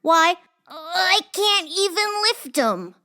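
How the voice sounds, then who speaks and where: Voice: very high voice, sing-song, slow pace, sharp tone, heavily acted. A performer playing an eager, innocent young frontier boy in an old-time radio style drama. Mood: excited wonder.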